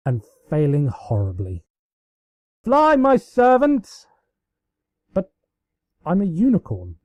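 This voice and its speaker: A man reading a story aloud as an amateur narrator, with expressive scripted delivery. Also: mid-range voice, no room sound.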